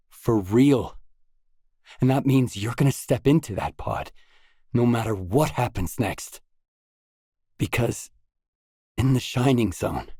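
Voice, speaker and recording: An actor playing a determined, brooding stalker in a grim video game, with a measured, serious, understated delivery. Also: male voice, warm tone, no echo, studio quality, full character voice. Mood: intense, persuasive. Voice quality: low, husky. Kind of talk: game voice acting, isolated dialogue lines.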